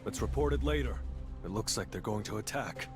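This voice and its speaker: Man playing a cool, low-voiced young hunter. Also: animated, brisk, natural voice. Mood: urgent, commanding. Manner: terse, composed, matter-of-fact. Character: cool, decisive young male hunter commanding shadow soldiers. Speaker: voice actor in a video game. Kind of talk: scripted dramatic game acting. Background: music.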